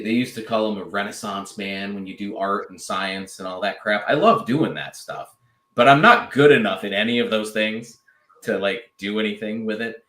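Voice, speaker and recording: Low-voiced man rambling in an echoey room. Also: a bit bright. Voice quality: fairly low voice. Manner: rambling, many fillers and restarts. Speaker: podcast guest, draft analyst. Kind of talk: casual chat.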